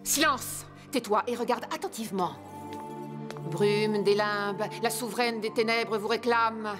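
Woman acting a haughty vengeful dark queen over music. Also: fairly high pitch, a little slow, natural voice. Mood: commanding, incantatory. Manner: haughty, commanding, theatrical. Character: vengeful, imperious dark queen of shadowy limbo. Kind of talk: French cartoon dubbing.

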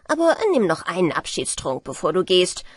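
A genial old merman , voiced by a woman reading aloud, offers drinks and explanations in a coaxing, matter-of-fact tone. His delivery rises and falls in lively swoops. The recording is dry and close. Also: lowish voice, normal speed, slightly rough, no echo. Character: old male merman, genial, hospitable host. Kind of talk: storybook character voice.

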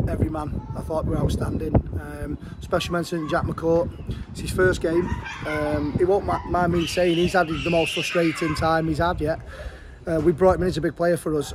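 A middle-aged man, a football manager giving a frank, chatty post-match interview outdoors beside the pitch. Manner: frank, chatty, fast-flowing. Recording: outdoor wind and traffic noise.

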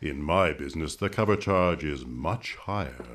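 An actor playing a gloomy, droll undertaker in 1950s radio comedy, solemn and deadpan. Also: male voice, extremely low pitch, moderate pace. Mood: morbidly droll. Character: gloomy, droll male undertaker full of morbid puns.

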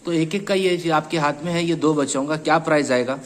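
A man's voice: a little high, fast talker. Chatty Hyderabadi man hosting a farm tour. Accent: Hyderabadi Hindi-Urdu. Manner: chatty, repeats answers back. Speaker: host of a goat channel. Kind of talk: casual on-site interview.

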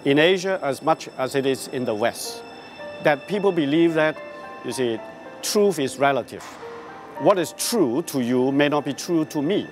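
Older man, slightly high voice, interviewed. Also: expressive, moderate pace. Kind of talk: interview remarks. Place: large busy indoor foyer. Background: music.

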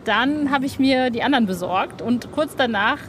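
A woman's voice: high-pitched, expressive, normal speed, a crowd in the background. A woman chatting informally and enthusiastically as an interviewer in a casual conversation. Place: outdoor roof terrace in a city. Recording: outdoor city noise, traffic behind.

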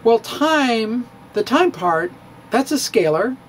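A man's voice: very high-pitched, very animated, slow pace, a little hiss or hum. A middle-aged man giving a dramatic reading of his own physics blog, wry and theatrical, with playful asides, at a stand mic in a small room. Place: small room in front of bookshelves. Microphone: studio mic on stand in front of him.

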